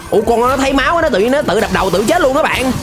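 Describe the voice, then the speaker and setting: A young adult man giving animated, exclamatory reaction commentary in slangy speech, close on a desk microphone. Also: extremely high pitch, fast, over music. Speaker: reaction video host. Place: small indoor room, webcam setup.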